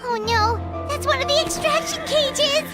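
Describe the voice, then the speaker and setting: A woman voicing a tiny, excitable childlike companion creature in a video game, squeaky and exclamatory. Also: measured pace, over loud music. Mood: alarmed.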